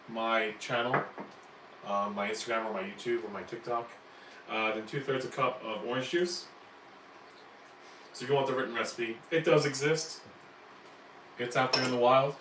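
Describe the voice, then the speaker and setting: A man hosting a live home cooking stream, chatty and upbeat, his voice raspy and cracking after a flu. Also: medium pitch, a bit bright. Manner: upbeat, chatty, explains steps as he cooks. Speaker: home cooking channel host. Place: home kitchen. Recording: echoey room, distant mic, faint noise. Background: kitchen clatter, hot plate.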